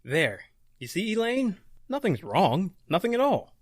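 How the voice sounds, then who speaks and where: Man acting skeptical brother, animated, dry sound. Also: high voice, measured pace, dry recording, full character voice. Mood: reassuring. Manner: calming, questioning. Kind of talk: old-time radio horror acting.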